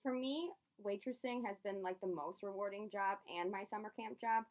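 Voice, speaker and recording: A woman's voice: mid-range voice, fairly even intonation, normal speed. Young woman earnestly answering interview questions. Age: young adult. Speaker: job interview candidate, university student.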